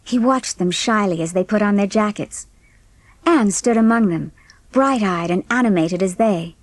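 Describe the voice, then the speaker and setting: A woman narrating an audiobook story in an expressive, scripted storytelling manner. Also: medium pitch, normal speed, dry recording.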